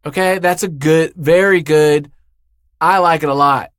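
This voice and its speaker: A man's voice: on the high side, steady tone, unhurried, a bit bright, no echo. A man being interviewed in a studio as a writer, chatting casually in an effusive, jokey, rambling way. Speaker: interview guest, podcast writer. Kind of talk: casual, unscripted interview chat.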